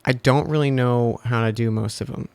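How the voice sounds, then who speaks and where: A man's voice: mid-range voice, unhurried, vocal fry, a little mellow, clear, resonant tone. A man in his thirties casually chatting and joking with his chat while streaming a game. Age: 30s. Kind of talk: casual live-stream commentary over gameplay.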